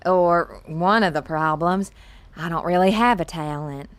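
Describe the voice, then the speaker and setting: A woman's voice: fairly low pitch, measured pace, dry recording, lightly acted. A woman playing an innocent, insecure young pageant contestant with a Southern US accent, sweet and earnest, in an audio comedy. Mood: earnest, naive. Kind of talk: audio comedy drama acting.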